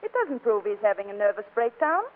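A woman's voice: mid-range voice, big pitch swings, a little fast. A woman playing a firm, indignant wife in 1940s radio comedy, insistent and matter-of-fact. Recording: muffled old radio broadcast.

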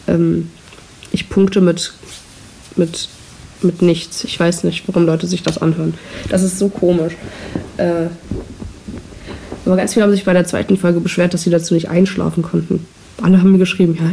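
A woman's voice: on the low side, gravelly, dark tone. Teenage girl rambling into a hissy mic. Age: teens. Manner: rambling, self-deprecating, frequent restarts. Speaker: podcast host. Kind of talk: casual solo chat. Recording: noisy cheap microphone with hiss.

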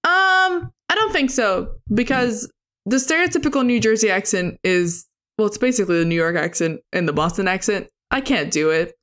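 A woman co-hosting a podcast in casual chat, talking fast and peppering her speech with "like". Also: mid-range voice, expressive, a bit bright. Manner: chatty, heavy use of "like" as filler. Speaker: podcast co-host.

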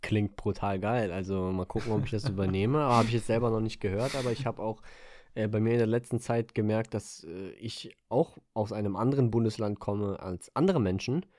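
A man's voice: fairly low pitch, brisk, a little mellow, dry recording, clean studio sound. A man co-hosting a podcast in casual chat, rambling and hesitant, often circling back with fillers. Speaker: podcast co-host.

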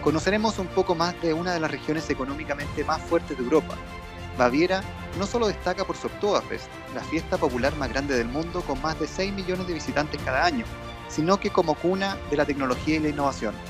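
A man's voice: fairly high pitch, a little fast. A man from Chile hosting a podcast, reading a formal introduction and putting questions to his guest. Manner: formal, read-aloud delivery. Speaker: podcast host, trade office representative. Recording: music bed behind the voice.

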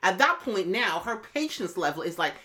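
A woman's voice: mid-range voice, sing-song, moderate pace, bright, forceful tone. A woman in a candid conversation, arguing her point assertively and firmly. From Jamaican family background. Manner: assertive, argues her point, quotes her mother.

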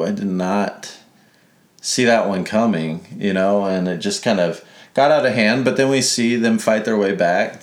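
A young man from Mississippi co-hosting a casual sports podcast, rambling and correcting himself with a playful streak. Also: on the low side. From Mississippi, United States. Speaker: podcast co-host. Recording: echoey room.

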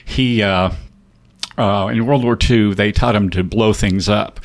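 A man's voice: on the low side, fairly even intonation, unhurried. An older man, an author guest with a slight Canadian accent on certain words, telling rambling, self-deprecating stories with many fillers in a library studio interview. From born in North Dakota, raised partly in Canada. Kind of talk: casual storytelling interview.

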